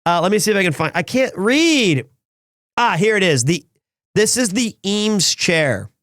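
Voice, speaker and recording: A man in his thirties, chatting casually with viewers on a live stream, slightly raspy and hoarse after being sick, rambling and excitable. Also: sits high, a little slow, no room sound, clean studio sound. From Wales. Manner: rambling, restarts often, excitable.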